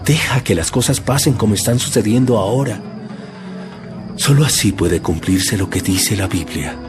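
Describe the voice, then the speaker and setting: An actor playing a solemn, calm, authoritative holy teacher with a measured delivery in a dramatized audio Bible. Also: male voice, fairly low pitch, over music, natural voice. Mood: resigned, firm.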